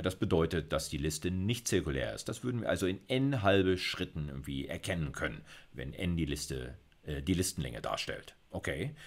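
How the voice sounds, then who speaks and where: A man's voice: sits low, breathy edge. A middle-aged man giving an informal recorded lecture, thinking aloud and putting questions to himself as he explains. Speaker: university computer science lecturer.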